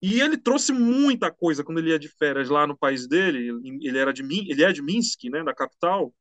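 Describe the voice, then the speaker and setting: Chatty high-voiced man. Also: a little fast, no room sound. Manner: relaxed, slangy, chatty. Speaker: musician guest. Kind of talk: casual interview storytelling.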